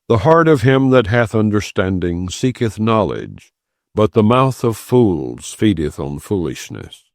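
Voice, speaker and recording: A man narrating an audiobook of scripture in a solemn, formal, measured reading style. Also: low-pitched, a little mellow, no room sound, studio quality.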